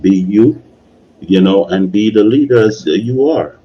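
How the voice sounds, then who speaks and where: A man's voice: on the low side, expressive, a little slow, slightly rough, highs cut off. A man casually giving opinions and advice in a live discussion, repeating himself for emphasis and often checking in with "you know". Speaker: video creator hosting a discussion.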